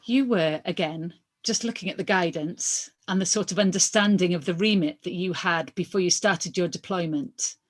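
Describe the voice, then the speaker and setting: A woman's voice: fairly low pitch, moderate pace, few pauses. A woman reads aloud in the role of a lawyer at a hearing. Her delivery is formal and questioning, with small stumbles as she works through the transcript.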